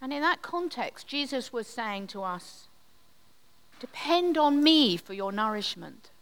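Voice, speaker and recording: A woman leading a communion service, giving a gentle, reflective sermon with measured pauses. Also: on the high side, big pitch swings, smooth. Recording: long hall reverb.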